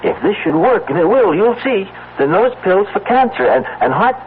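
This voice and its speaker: Excitable husband, wildly swooping old-radio delivery. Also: male voice, high voice. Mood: pleading, excited. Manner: urgent, insistent, halting and pleading. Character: excitable, stubborn husband obsessed with mysterious miracle pills. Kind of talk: old-time radio drama acting. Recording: tinny, band-limited old radio recording, faint hiss.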